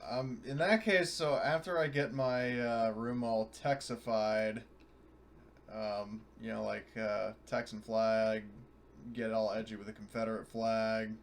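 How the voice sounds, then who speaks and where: A man's voice: fairly low pitch, steady tone, a little slow. A man chatting casually and informally as a player in an online tabletop game. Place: online voice call.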